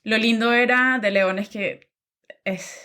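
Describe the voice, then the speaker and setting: A woman's voice: fairly high pitch, slow pace, clear, resonant tone. A young woman from Nicaragua, a podcast guest chatting casually about her life, with many filler sounds. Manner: relaxed, many "am" and "em" fillers, laughs easily. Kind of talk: casual interview chat.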